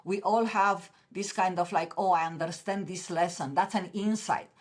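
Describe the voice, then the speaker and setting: Romanian-accented woman on a podcast, lowish voice, passionate, keeps restarting sentences. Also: moderate pace. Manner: earnest, passionate, with frequent restarts. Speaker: podcast guest, author. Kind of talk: interview conversation.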